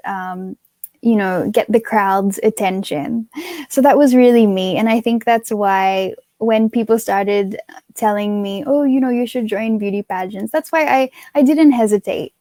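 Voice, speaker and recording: A woman's voice: mid-range voice, warm tone, no echo. Give me a young woman from Australia, a pageant titleholder answering interview questions in a chatty, earnest way with lots of fillers.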